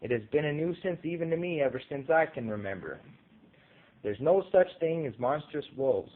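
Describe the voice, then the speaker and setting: A man's voice: fairly high pitch, animated, normal speed, narrow, tinny audio, pitched above the performer's own voice. A man voicing a pompous, irritated king from a storybook, giving stern, declamatory pronouncements. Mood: proclaiming. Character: pompous, irritated king dismissing monsters, commanding a crowd. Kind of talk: storybook character voice in audio short story.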